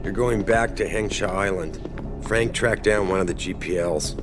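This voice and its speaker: Male actor as an authoritative older executive, lowish pitch, fatherly, commanding, video game, background music. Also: normal speed, close to an everyday voice. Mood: urgent, commanding. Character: authoritative, paternal older male corporate boss.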